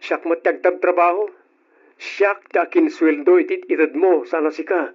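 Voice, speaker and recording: Felix, a husky, domineering husband. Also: male voice, on the high side, steady tone, moderate pace. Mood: stern, irritated. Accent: Ilocano. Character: Felix, domineering husband, tight-fisted, doting on his pregnant wife. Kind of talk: old Ilocano radio drama acting. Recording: dull, lo-fi old radio recording.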